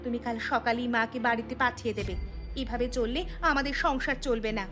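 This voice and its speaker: A woman's voice: a little high, fairly even intonation, fairly quick, lightly acted. A woman playing a young, hardworking daughter-in-law in a cartoon story, prone to exasperated complaint. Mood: exasperated, complaining. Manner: complaining, scolding. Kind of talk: cartoon voice acting. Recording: music behind the voice.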